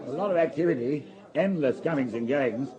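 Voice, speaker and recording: A man's voice: medium pitch, brisk, lo-fi sound, crowd noise, natural voice. A man playing a loyal, matter-of-fact police subordinate giving methodical reports in a radio drama, with voices in the background. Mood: businesslike.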